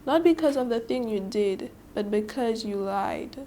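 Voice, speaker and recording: A stern, impatient father as a teenage girl reads him gives orders in a clipped, commanding way. The accent is Saint Lucian and the pitch fairly high. It is a storybook reading with faint noise behind. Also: expressive, a little slow, warm tone. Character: stern, impatient father scolding his daughter.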